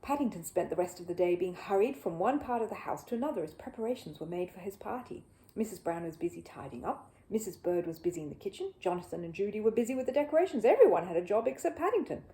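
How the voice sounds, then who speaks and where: A woman storyteller with a soft, mellow tone reads from a children's book. Her pitch moves about in a very lively way, giving the narration plenty of expression. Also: fast talker, low-quality recording.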